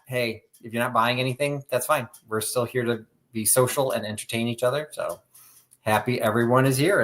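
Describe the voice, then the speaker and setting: A man from the Chicago suburbs with a Midwestern accent, co-hosting a live sale stream in relaxed, chatty talk. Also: medium pitch. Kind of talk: casual live-stream chat.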